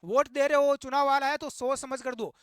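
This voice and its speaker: A man's voice: very high voice, fairly even intonation, brisk, no room sound, studio quality. A man giving impassioned, indignant commentary and urging his viewers on in an exhortative, repetitive way. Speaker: YouTube host.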